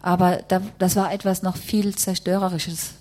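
A woman's voice: fairly low pitch, flat delivery, normal speed, darkish tone. A woman working as a live interpreter, rendering a testimony phrase by phrase and rewording as she goes.

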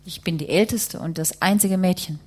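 A woman's voice: on the low side, moderate pace. A woman working as a live interpreter, rendering a testimony phrase by phrase and rewording as she goes.

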